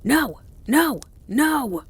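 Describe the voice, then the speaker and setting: A woman voicing a small, frenzied, malevolent male hunting dog in a storybook, howling shrilly in short repeated shouts. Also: sits high, sing-song, very slow, slightly rough, voice pushed up, full character voice.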